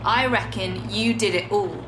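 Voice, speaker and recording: A woman's voice: mid-range voice, normal speed, reverberant, background noise, close to an everyday voice. An actress playing a wronged, dry and frank young British woman in a naturalistic audio drama. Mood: accusing. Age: young adult.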